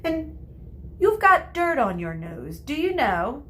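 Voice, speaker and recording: A woman's voice: sits high, very animated, takes it slowly, sharp tone, slight background noise, pitched above the performer's own voice, exaggerated voice. A woman voicing a bossy schoolgirl in a storybook, prim and scolding, with a sniffy tone.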